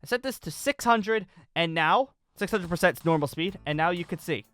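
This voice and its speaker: A young man giving a casual, enthusiastic video tutorial, excitable and emphatic, often repeating himself. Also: sits high, brisk, no room sound, faint music behind. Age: young adult.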